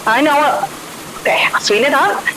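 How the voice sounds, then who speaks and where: Woman as exasperated high-voiced park coworker, noisy rain. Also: animated, normal speed. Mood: coaxing. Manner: casual, nagging, persuasive. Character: exasperated, coaxing park coworker over the radio.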